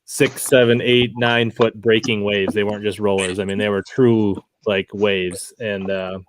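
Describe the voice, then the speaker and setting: A man's voice: on the low side, little pitch movement, unhurried, a little hiss or hum. A man telling fishing stories casually as an angler guest on a podcast.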